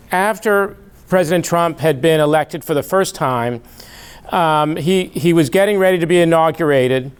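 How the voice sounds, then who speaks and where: Middle-aged male lecturer, loud and easygoing, smooth clear voice, slightly raised pitch. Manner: loud, easygoing speaker with filler words. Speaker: guest speaker, institute president. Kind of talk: public lecture. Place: event hall.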